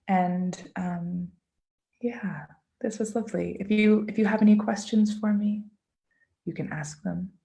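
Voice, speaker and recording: A woman presenting as an author in an informal live online talk, speaking gently and unhurriedly with pauses for emphasis over a video call. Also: mid-range voice, gravelly. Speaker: children's author, guest presenter.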